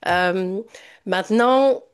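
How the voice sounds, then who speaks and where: A woman's voice: medium pitch, extremely slow, clean tone. A woman from France with a French accent, speaking as a podcast guest in a casual, reflective and somewhat hesitant way. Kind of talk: casual interview conversation.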